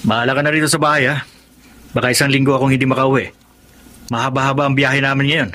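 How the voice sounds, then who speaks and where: An actor playing a polite, dutiful driver who turns defensive in a radio drama. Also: male voice, mid-range voice, little pitch movement, quick pace, pushed and bright, natural voice. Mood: reassuring. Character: dutiful adopted son, tired bus driver.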